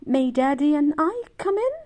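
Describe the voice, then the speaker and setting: Lady reading as a kids book mom, firm, caring, emphatic, pitch goes up and down a lot. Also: very animated, moderate pace, mellow. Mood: calling gently. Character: firm, caring mother of two young children.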